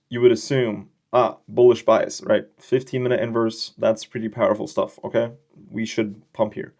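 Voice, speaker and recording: A young man with a slightly low voice teaches trading in a relaxed, conversational way. He explains loosely and keeps checking in with his listener. He drops slangy, joking asides as he goes. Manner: loose, chatty explaining with frequent check-in questions. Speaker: trading educator. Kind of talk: casual screen-share trading lesson.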